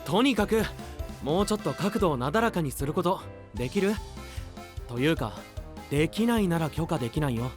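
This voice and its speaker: A man plays an exasperated young knight in an anime-style audio drama, boyish and brisk. His pitch swings freely as he delivers diligent, bossy retorts, with music playing behind him. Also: animated, close to an everyday voice.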